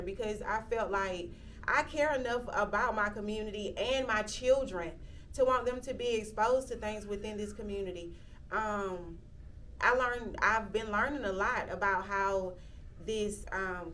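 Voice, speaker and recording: A woman's voice: mid-range voice, measured pace, a bit bright, distant mic, a little hiss or hum. Reflective woman in her thirties. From Athens, Georgia, US. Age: 30s. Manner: reflective, with frequent "um" fillers and restarts. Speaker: interviewee, local county commissioner. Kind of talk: casual interview talk.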